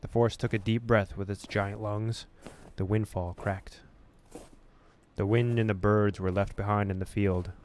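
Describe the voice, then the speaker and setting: A man reading a horror visual novel aloud as a gaming host, with expressive storytelling and casual delivery. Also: on the low side, a little slow, a little hiss or hum.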